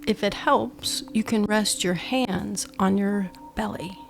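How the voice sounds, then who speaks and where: A woman guiding a meditation with measured instructions, in short phrases that often end hushed. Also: medium pitch, vocal fry, background noise. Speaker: meditation guide. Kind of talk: slow guided meditation, instructional.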